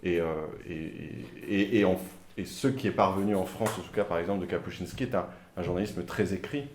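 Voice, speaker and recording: Deep-voiced man, halting panel talk. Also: a little hiss or hum. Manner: hesitant, halting with many fillers and restarts. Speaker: author on a panel. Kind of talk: round-table discussion. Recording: echoey room, faint ambience.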